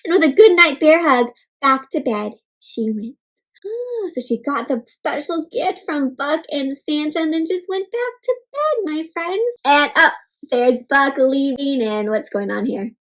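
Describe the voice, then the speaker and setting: Animated high-pitched woman reading to kids. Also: unhurried. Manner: animated, exclaiming, questioning listeners, playful sound effects. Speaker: read-aloud host for children. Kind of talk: interactive children's storybook read-aloud.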